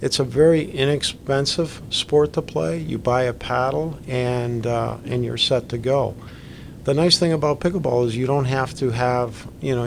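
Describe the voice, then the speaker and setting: Gravelly-voiced man telling stories. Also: mid-range voice, a little hiss or hum. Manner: storytelling, casual and chatty. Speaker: pickleball instructor. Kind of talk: podcast conversation.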